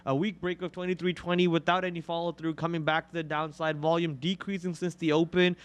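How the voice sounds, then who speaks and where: A man's voice: on the high side, little pitch movement, fast, smooth. A man hosting a live stock trading show, chatting and joking casually while calling out chart levels.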